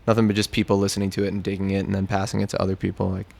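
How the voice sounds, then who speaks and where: A man's voice: deep voice, completely flat delivery, very fast, creaky, darkish tone. An American man, a musician, chatting casually in an interview in a laid-back, slangy, conversational way. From New York, United States.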